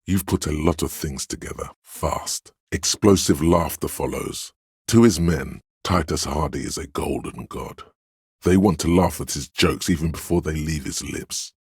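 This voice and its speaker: Very deep, commanding inner voice of authority. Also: male voice, darkish tone, slightly rough, dry recording, studio quality, heavily acted. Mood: imperious. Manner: commanding, deliberate, imperious. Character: inner voice of Authority, proud, domineering male narrator. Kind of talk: scripted video game voice acting.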